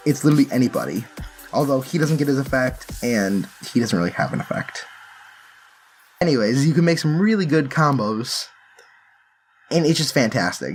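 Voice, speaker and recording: A man talks casually, explaining things step by step like a hobby card player presenting a deck. His voice sits at an average pitch for a man. Music plays behind him as he goes.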